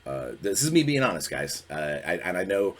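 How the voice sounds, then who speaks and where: A man in his forties hosting his podcast alone, talking candidly in an earnest, reflective and halting way. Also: low-pitched, sing-song. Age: 40s. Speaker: podcast host. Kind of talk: candid solo talk.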